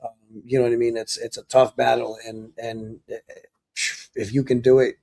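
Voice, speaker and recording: A man's voice: medium pitch, little pitch movement, normal speed, creaky, dark tone, poor audio. A man hosting a podcast in casual chat, supportive and full of fillers. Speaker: podcast host.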